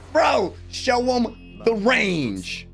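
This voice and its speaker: A young adult man giving excited, slangy live reaction commentary as a host, full of hyped exclamations. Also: very high voice, vocal fry. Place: small room at home, indoors. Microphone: desk microphone, close.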